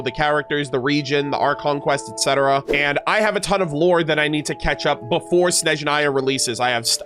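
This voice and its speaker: High-pitched chatty man over music. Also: a bit bright. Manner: enthusiastic, chatty, informal. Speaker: game reaction content creator and host. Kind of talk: casual reaction commentary mixed with reading game lore aloud. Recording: music playing behind the voice. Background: guitar and instrumental music.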